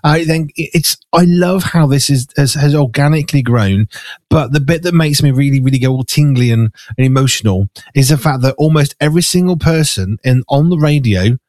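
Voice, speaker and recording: A man with a raspy, gravelly, hoarse voice, an animated radio host chatting effusively with many restarts. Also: on the high side, a little fast, no room sound, clean studio sound. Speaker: radio host and magazine editor. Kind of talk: casual conversation. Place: farmhouse.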